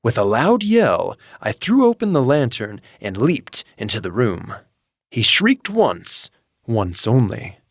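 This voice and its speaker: A man narrating a horror story as a nervous, gleefully sinister madman, theatrical and deliberate, with emphatic delivery. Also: mid-range voice, big pitch swings, no room sound, clean studio sound, full character voice. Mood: agitated, fevered. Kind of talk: dramatic first-person horror audiobook narration.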